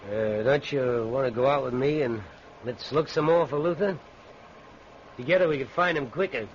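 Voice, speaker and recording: A man acting in an old-time radio drama as a callous, scheming rural man with gruff, folksy speech. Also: medium pitch, moderate pace, close to an everyday voice. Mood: coaxing. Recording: muffled, noisy old radio recording.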